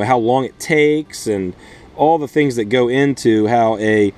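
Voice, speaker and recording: A man in his thirties with a mid-pitched voice talks like a schoolteacher to children and their parents. He explains things step by step in plain, simple language, recorded on a camera close by in a small greenhouse. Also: warm tone. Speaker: schoolteacher host. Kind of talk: read-aloud and informal lesson addressed to children and parents. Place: inside a small plastic-sheeted wooden greenhouse.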